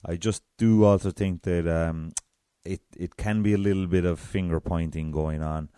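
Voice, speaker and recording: An Irish man with a very deep voice hosting a solo podcast, chatty and self-deprecating. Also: fairly even intonation, normal speed, warm tone, smooth, dry recording, studio quality. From south of Ireland. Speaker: podcast host.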